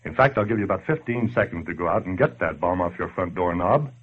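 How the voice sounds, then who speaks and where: Tinny old radio: sardonic American wise guy, low swooping voice, needling the listener. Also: animated, fast, husky. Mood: sardonic goading. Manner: slangy, sardonic, badgering. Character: brash wisecracking male narrator needling the listener at home. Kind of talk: 1940s radio acting, direct address. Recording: tinny, band-limited old radio broadcast.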